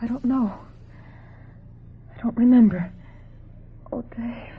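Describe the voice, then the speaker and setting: A woman playing a distraught, guilt-ridden film actress in an old-time radio drama, halting and melodramatic. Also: fairly high pitch, husky, narrow, tinny audio, heavily acted. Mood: despairing, guilt-ridden.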